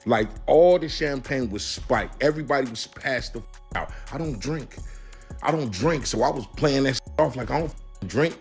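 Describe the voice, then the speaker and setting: A man's voice: mid-range voice, expressive, moderate pace, soft background music. A man recounting what he witnessed in casual street slang, blunt and repeating himself. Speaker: person giving an eyewitness account.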